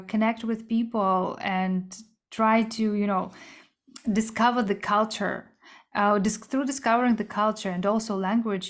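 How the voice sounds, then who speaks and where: Halting woman guest from Kazakhstan. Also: mid-range voice. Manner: halting, searching for words, frequent light laughter. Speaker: guest, entrepreneur. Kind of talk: casual interview answers.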